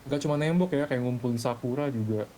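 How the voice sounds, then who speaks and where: A man's voice: medium pitch, a little fast, mellow, a little hiss or hum, rough recording. A man talking casually and slangily in an online gaming group meeting, airing complaints. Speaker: roleplay gamer in a group meeting. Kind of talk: casual in-game meeting talk.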